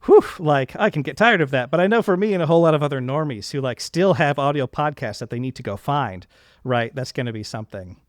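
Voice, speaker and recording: A man's voice: mid-range voice, animated, fast, dry recording, studio quality. A man hosting a casual interview, reflecting at length and enthusiastically affirming his guest. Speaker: podcast host interviewing a guest.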